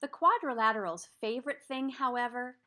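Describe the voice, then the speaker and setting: A woman reading a children's story aloud in an expressive, deliberate way, stressing key words. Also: on the high side. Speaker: storybook reader.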